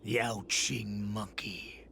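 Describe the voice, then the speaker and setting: Gravel-throated, fanatical wolf-beast warrior. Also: male voice, lowish voice, fairly even intonation, sharp tone, exaggerated voice. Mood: defiant, reverent. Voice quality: heavily gravelly, growling. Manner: slow, grandiose, dramatic delivery. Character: fierce, zealous male wolf-beast warrior, fanatically devoted to pack. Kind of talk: dramatic video game acting. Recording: music behind the voice.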